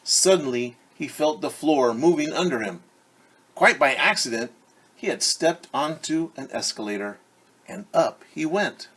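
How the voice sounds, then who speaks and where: A man teacher reading a picture book aloud to children, animated and stopping to explain words and ask questions. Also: on the high side, measured pace, slightly rough. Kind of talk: children's story read-aloud.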